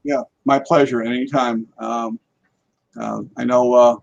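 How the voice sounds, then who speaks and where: A man's voice: mid-range voice, little pitch movement, a little slow, gravelly, highs cut off. A man in casual conversation as a guest paranormal investigator on a live talk show, rambling and repeating words as he goes.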